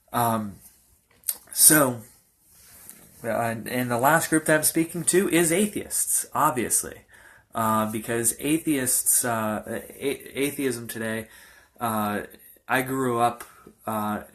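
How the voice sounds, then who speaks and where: A man in his early twenties, a pastor, telling his personal testimony in a halting, earnest way with many fillers and restarts. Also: medium pitch, a bit bright. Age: young adult. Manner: earnest, informal.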